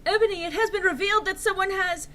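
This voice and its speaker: A woman voicing a grave, overdramatic old male wizard headmaster with loud, urgent declamation in a story reading. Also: extremely high pitch, fairly even intonation, fast talker, pitched above the performer's own voice.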